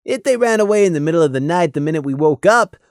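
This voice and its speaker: Storybook role, narrator playing male pony companion, gruff, candid, caring, mid-pitched. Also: expressive, quick pace, dry recording, studio quality, lightly acted. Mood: grumbling. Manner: frank, grumbling, awkwardly candid.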